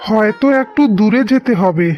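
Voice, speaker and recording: A muffled recording of a cartoon family man played by a man, gentle and despairing. He speaks in a pleading, downcast way over music. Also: high-pitched, normal speed, natural voice. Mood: dejected, gentle. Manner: pleading, dejected. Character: despairing, gentle indebted husband and father. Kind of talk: cartoon voice acting.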